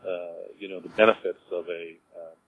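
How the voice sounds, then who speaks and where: A man answering interview questions informally as a business executive, in a halting way, repeating words as he explains. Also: low voice, flat delivery. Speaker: cemetery executive being interviewed. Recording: dull lo-fi audio with clear noise.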